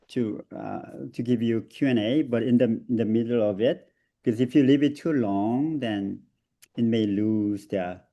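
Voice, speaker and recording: A man with a Korean accent leads a seminar over a video call. His pitch rises and falls a lot, and he laughs easily between hesitant fillers. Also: mellow. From South Korea. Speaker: seminar presenter. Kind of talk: online seminar talk.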